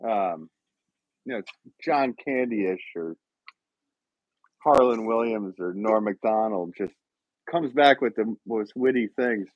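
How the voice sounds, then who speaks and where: A man's voice: fairly low pitch, takes it slowly, pauses often, dry recording, highs cut off. A man from Connecticut hosting a casual live-stream podcast, rambling with lots of fillers and frequent laughter. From Connecticut, USA. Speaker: podcast host.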